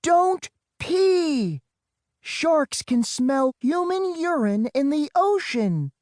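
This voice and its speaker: A man plays a heroic teenage big sister in a children's storybook, pitched well up from his narration. She calls out commands boldly and matter-of-factly, with a dry, close sound. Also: very animated, extremely slow, no room sound, heavily acted. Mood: urgent, commanding. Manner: commanding, shouted delivery. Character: brave big sister, teenage girl, bossy and matter-of-fact.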